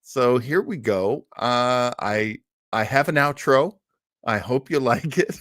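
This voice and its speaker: Older male host, mid-pitched, for casual, enthusiastic live chat that rambles; close, dry recording. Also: animated, slow pace, fairly bright tone, dry recording. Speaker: host of an old time radio show.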